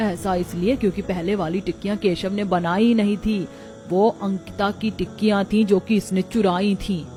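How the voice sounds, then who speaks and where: A woman's voice: mid-range voice, steady tone, moderate pace, warm tone, soft background music, close to an everyday voice. A woman voicing a shrewd, supportive female neighbour in a cartoon, assertive and righteous. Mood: accusing, righteous.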